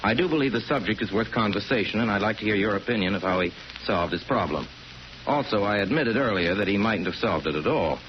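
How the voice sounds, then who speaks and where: A man narrates a vintage radio play in a low voice. His delivery is conversational, deliberate and wry. The 1940s recording is dull, with faint noise. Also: lively intonation.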